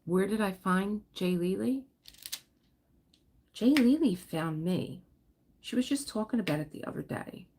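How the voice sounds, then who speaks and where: Woman livestream host, low gravelly voice, slow rambling chat, faint hiss behind. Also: lively intonation, darkish tone. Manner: rambling, chatty, laughs often, reads and answers viewer comments. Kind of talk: casual livestream chat while diamond painting.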